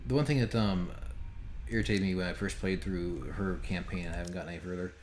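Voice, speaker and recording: A man's voice: low-pitched, steady tone, quick pace, darkish tone, a little hiss or hum. A man giving casual, sweary, sardonic game commentary on a home stream. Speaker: game developer streaming a playthrough.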